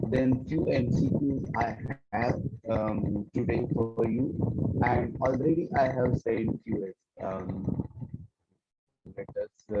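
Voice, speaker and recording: A man's voice: mid-range voice, dark tone, muffled recording, a little hiss or hum. A man with an Indian English accent teaching a geometry lesson in an online class, repeating key points and mixing in Hindi phrases. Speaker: math teacher. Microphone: earphones mic on a video call.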